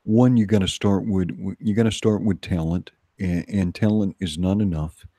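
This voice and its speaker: Deep, mellow-voiced man, emphatic talker. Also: fairly even intonation, moderate pace, dry recording, studio quality. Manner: emphatic, repeats phrases. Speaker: co-host. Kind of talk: casual livestream pep talk.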